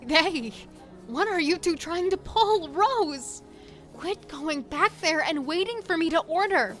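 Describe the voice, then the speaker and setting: A woman's voice: very high-pitched, lively intonation, takes it slowly, a bit bright, faint music behind, exaggerated voice. A woman playing a confused, insistent, frightened sister who pleads urgently in an audio horror drama. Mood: frightened, demanding.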